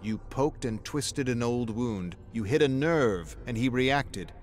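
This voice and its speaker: A man's voice: mid-range voice, lively intonation, takes it slowly. A man voicing a mocking inner voice that berates a lone survivalist, sardonic and scolding, in a dramatic story. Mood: berating.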